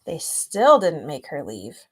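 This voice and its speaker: A woman reading a children's storybook aloud expressively and gently, with chatty asides to young listeners. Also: low-pitched, wildly swooping pitch, slow pace, slightly rough. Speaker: children's author reading own book aloud.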